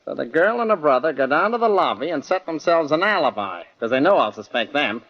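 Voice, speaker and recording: A man's voice: a little high, very animated, a little fast. A man playing a suspicious, bullying law partner in an old radio drama, tough-talking, accusing and sneering. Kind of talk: 1940s radio drama acting. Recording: muffled old radio recording.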